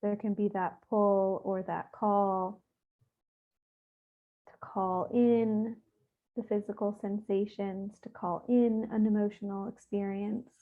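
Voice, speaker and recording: A woman leading a guided meditation, speaking gently and without hurry, with soothing instructions and pauses. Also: mid-range voice, flat delivery. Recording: thin, phone-like narrow-band audio.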